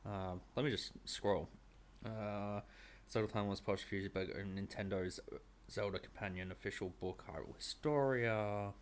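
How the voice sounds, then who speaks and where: A man's voice: lowish voice, fairly even intonation, a little slow, slight background noise. A man hosting a casual interview, asking questions in a dry, teasing way. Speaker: interviewer, host. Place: small indoor streaming room. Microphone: close studio microphone.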